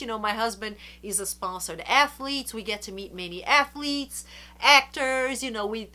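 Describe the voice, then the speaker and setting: Chatty congested woman vlogger, bright voice. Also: fairly high pitch. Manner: earnest, chatty, slows to stress words. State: congested from a flu. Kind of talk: casual advice to camera.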